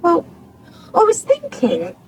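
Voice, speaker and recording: Swooping, coaxing wife. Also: female voice, very high voice, huge pitch swings, slow pace, faint music behind, full character voice. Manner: wheedling, forthright. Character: forthright, coaxing working-class country wife. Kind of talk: naturalistic radio soap acting.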